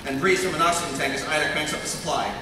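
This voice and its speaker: Guy reading script directions super fast, high voice, crowd around, echoey hall. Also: steady tone, far from the mic. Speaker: stage directions reader. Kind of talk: animated scripted narration at live table read. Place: large convention panel room, on PA. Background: audience crowd.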